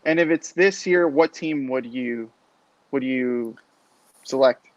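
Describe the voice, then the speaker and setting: A man's voice: medium pitch, slow pace, dry recording. A man hosting a casual live sports interview as a podcast host, on slightly dull lo-fi audio.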